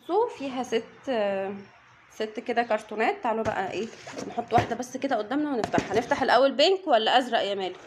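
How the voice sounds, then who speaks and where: A woman's voice: a little high, expressive, very fast, background noise. A woman with an Egyptian accent chatting to viewers while unboxing snacks at home. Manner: chatty, addresses viewers directly. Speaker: vlogger mother. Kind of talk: casual unboxing vlog.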